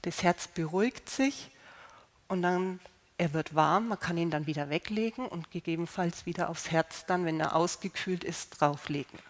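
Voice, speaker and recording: A woman with a slight cold calmly explaining how to use healing stones, unhurried, with fillers and restarts. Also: lowish voice. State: slight cold, clearing throat. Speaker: gemstone healing presenter.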